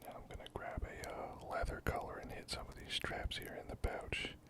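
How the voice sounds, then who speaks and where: A person whispering softly and breathily in a casual, unhurried ASMR craft narration, murmuring step by step. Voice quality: soft, breathy, unvoiced whisper. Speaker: host of a miniature painting ASMR channel.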